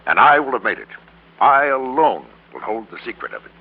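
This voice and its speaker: An actor playing a formal, self-important businessman of about sixty, speaking deliberately and grandly in classic radio drama style. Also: mid-range voice, sing-song, breathy edge. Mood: grandiose, fervent. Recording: tinny, faintly noisy vintage radio recording.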